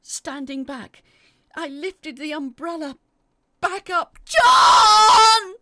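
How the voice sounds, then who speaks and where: A woman reading a scripted first-person horror story in a theatrical, expressive way, as its dramatic narrator. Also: sits high, slow, raised voice, heavy background noise.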